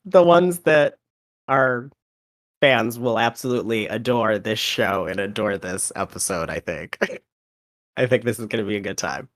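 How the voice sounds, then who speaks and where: A rapper guesting on a podcast, a man who is a little sick with a cold, talking in a relaxed way and laughing often. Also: fairly low pitch, a little slow. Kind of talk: casual interview chat.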